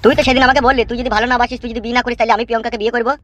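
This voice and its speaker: A man's voice: very high-pitched, little pitch movement, rapid-fire, a bit bright. A man voicing a jilted young village rogue in a cartoon, whiny and coarse. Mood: suspicious. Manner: complaining, coarse. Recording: faint music behind the voice.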